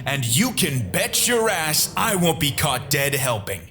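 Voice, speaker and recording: Guy playing hostile frat bro ghost, brash n taunting, sharp lively voice, audio drama. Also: on the high side, measured pace, faint music behind, exaggerated voice. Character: cocky, hostile young frat-boy ghost.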